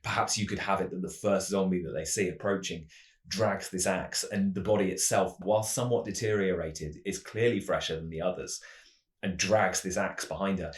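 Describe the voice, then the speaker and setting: Deep-voiced man in an echoey room. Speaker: tabletop roleplaying game channel host. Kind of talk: semi-scripted explanatory narration. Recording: roomy, echoing voice recording.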